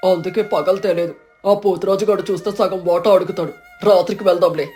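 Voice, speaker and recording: A woman plays a humble fisherman in a cartoon folk tale. The voice is mellow, cautious and confiding, with music underneath. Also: on the low side, fairly even intonation, fairly quick, lightly acted. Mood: secretive. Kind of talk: animated moral story acting.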